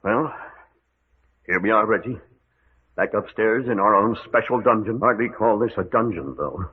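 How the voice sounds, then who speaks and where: A man acting a steady, reasoning adventurer in a radio mystery, reassuring and commanding in an emphatic way. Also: fairly low pitch, normal speed, lightly acted. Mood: wry, calm. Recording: dull, noisy old-style radio recording.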